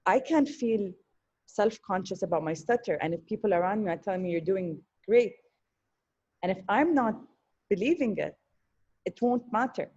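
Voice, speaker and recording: A woman on an online panel, speaking in an earnest, thoughtful way with frequent pauses and repeated words. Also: mid-range voice, lively intonation, darkish tone, dull audio. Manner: thoughtful, earnest, with hesitations and repetitions. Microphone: video call.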